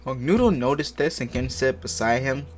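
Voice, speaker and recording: A man's voice: medium pitch, expressive, moderate pace. A man hosting a comic reading of fan fiction, stumbling over words and breaking into slangy, profane, exasperated asides. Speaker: host reading fan fiction aloud for an online video. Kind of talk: comic dramatic reading with casual side commentary. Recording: music playing behind the voice. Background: music.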